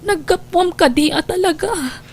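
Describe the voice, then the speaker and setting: A woman's voice: very high-pitched, a little slow, slight background noise. A woman with an Ilocano Filipino accent playing a worried, suspicious wife and mother in an audio drama. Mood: pleading, questioning. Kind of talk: Ilocano radio-style drama acting.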